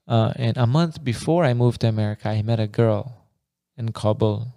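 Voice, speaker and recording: A man's voice: medium pitch, normal speed, creaky, warm tone, no echo, clean studio sound. A young man from Afghanistan, co-hosting a podcast in loose, rambling casual chat full of slang like bro and man. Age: young adult. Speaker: podcast co-host.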